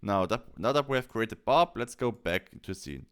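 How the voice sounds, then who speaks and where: A man presenting a software tutorial as a voice-over, explaining things step by step in plain, short instructional phrases. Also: on the low side, animated, moderate pace, smooth.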